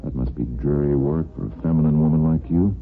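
An actor playing a smooth, persistent neighbor courting a woman in a vintage radio soap opera, with a suave, teasing, courtly manner. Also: very deep voice, fairly quick. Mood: smooth flirting. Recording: lo-fi vintage radio, faint music.

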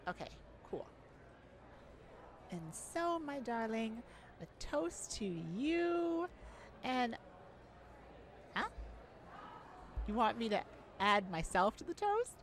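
An affectionate young male singer played by a woman speaks in an intimate ASMR roleplay, with pitch that swings widely and easily. He is modest, hesitant and sweet-talking, and takes his time. There is some noise in the recording. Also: sing-song, takes it slowly, long pauses. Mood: bashful, excited.

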